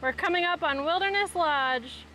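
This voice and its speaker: A woman with a high voice hosts a casual travel vlog in an upbeat, playful way. She chats to viewers out in the open, with faint ambient noise behind her. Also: normal speed, sharp tone. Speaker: vlog host. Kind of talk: casual, chatty travel vlogging. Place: outdoors, mostly on a boat on a lake.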